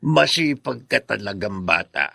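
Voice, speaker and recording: An actor playing a kindly, steady older man giving fatherly advice in a radio drama, gravelly and husky. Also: fairly low pitch, measured pace, no room sound, studio quality, heavily acted. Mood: encouraging. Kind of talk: Filipino radio drama acting.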